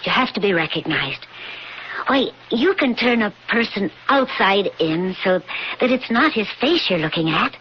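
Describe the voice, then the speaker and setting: A woman plays a devoted lawyer wife in a 1980s radio drama. Her medium-pitched voice is earnest and encouraging, with dramatic emphasis. The old broadcast sounds dull, with faint hiss. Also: animated, normal speed, close to an everyday voice. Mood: admiring, coaxing.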